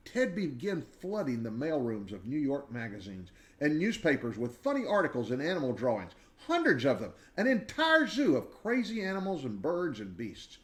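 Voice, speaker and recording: Male storybook reader, mid-range voice, lively swooping intonation, expressive delivery with deliberate dramatic pauses. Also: medium pitch. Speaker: reader of a children's biography. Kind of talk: expressive storybook read-aloud.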